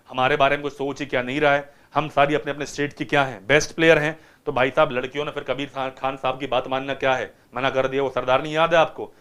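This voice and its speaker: A man's voice: medium pitch, a bit bright, clean tone. A man teaching vocabulary to students by retelling a film, keeps asking them rhetorical questions.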